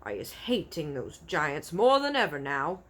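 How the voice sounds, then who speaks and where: A woman's voice: low voice, very animated, measured pace, full character voice. A woman voicing a kindly, innocent male giant in a storybook, earnest and dignified with muddled grammar. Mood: tender, concerned.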